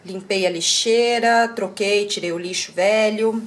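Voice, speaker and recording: A woman's voice: mid-range voice, fairly even intonation, measured pace. A woman in her thirties with a Brazilian Portuguese accent, chatting to viewers in a casual home vlog while she cleans her kitchen, recorded on a handheld camera. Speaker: vlogger. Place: home kitchen, indoors, medium-sized. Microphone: handheld camera, moved around the room.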